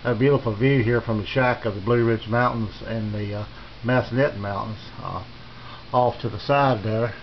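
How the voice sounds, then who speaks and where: A middle-aged or older man giving a casual, unscripted tour as a hobbyist, speaking in a halting, unhurried, plain way. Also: fairly low pitch, fairly even intonation. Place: small home radio shack, indoors. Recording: dull lo-fi camera audio, faint noise.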